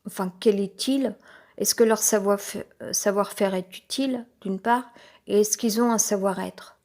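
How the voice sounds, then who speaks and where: A woman's voice: medium pitch, fairly even intonation, darkish tone. A woman with a head cold talks on her own, her voice a little congested. She rambles, stops often with fillers and restarts her sentences. The tone is personal and unscripted. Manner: halting, many fillers and restarts. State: has a cold.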